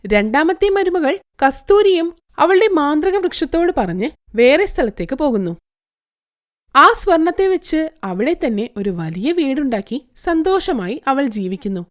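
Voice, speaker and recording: A woman's voice: high voice, sing-song, unhurried. A woman narrating a scripted moral story as a storyteller.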